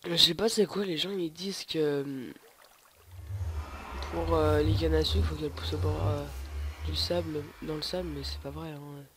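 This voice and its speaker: A man's voice: fairly high pitch, fairly even intonation, moderate pace. A young man with a cold, rambling through casual gameplay commentary with lots of hesitations. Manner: rambling, hesitant, many fillers and restarts. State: stuffy with a cold. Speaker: gamer. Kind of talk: casual let's play commentary. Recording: loud background noise, home mic.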